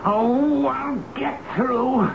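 An actor playing a grumpy, blustering fat boss in a radio drama, gruff and bossy. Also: male voice, very high-pitched, very slow, exaggerated voice. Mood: determined. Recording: noisy, dull lo-fi radio drama recording.

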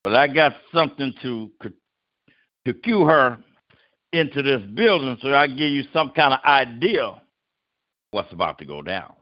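A man's voice: medium pitch, very animated, a little slow, pauses often, dry recording, dull audio. Man hosting an open mic with MC flair. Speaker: host.

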